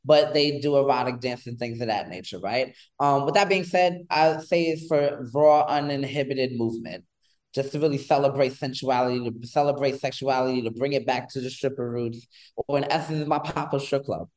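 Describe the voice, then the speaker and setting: A man in his thirties from New York, a guest on an interview show, talking in an animated, rambling way with lots of fillers. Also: medium pitch, normal speed, rough recording. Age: 30s. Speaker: guest, pole dance instructor. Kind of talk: casual interview chat.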